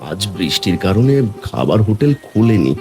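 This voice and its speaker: A man's voice: low-pitched, big pitch swings, moderate pace, gravelly, warm tone, soft background music, full character voice. A man voicing a mild, reassuring village husband and father in a cartoon. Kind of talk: cartoon voice acting.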